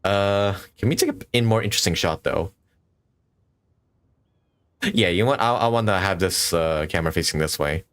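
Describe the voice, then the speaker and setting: A man's voice: low-pitched, lively intonation, moderate pace, pauses often, a bit bright, slight background noise. A young man giving casual live gameplay commentary, jokey and talking to himself as he plays. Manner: jokey, talks to himself while playing. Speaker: video game streamer.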